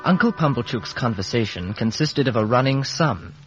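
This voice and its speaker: An actor playing a grown man soberly recalling his boyhood in scripted radio narration, with a husky voice. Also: medium pitch, lively intonation, moderate pace, over loud music, lightly acted. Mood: sober, reflective. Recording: dull vintage radio broadcast.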